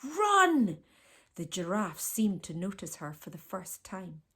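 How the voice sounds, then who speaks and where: A woman reading a children's storybook aloud as a teacher, with expressive storytelling delivery. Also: fairly low pitch, normal speed, slightly rough.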